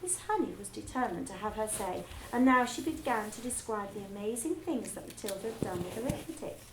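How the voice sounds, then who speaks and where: Woman teacher, read-aloud storytelling, expressive, echoey classroom. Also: mid-range voice, a little fast, a little hiss or hum. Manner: expressive storytelling, plain explaining to children. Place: school classroom. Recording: echoey classroom, faint ambience.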